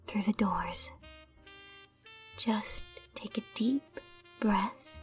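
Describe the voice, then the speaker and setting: A woman playing a mysterious, comforting bartender in a soft-spoken ASMR roleplay, with a breathy, whispery voice and a soothing, reassuring manner. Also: mid-range voice, recorded from a distance, heavily acted. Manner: slow, soothing, reassuring delivery.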